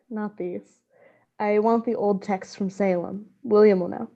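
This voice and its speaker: An actress playing an ailing woman scholar obsessed with dark magic, intense and portentous, in a gothic radio play. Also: medium pitch, steady tone, unhurried, dull audio. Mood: weak, pleading. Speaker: actress in an audio drama.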